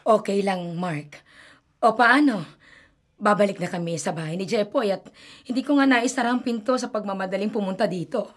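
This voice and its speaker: A woman's voice: mid-range voice, moderate pace, close to an everyday voice. An actress playing an anxious older grandmother and mother in a radio horror drama, talking with fretful worry. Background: dramatic music.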